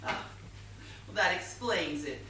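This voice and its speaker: A very echoey stage recording, picked up from a distance, of a woman acting a wealthy middle-aged widow in a comedy. She is theatrical and scatterbrained, with a lively swooping delivery and an air of evasive innocence. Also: on the low side, a little slow. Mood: evasive, airy. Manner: airy, theatrical, mock-innocent. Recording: echoey theatre, distant mic, faint noise.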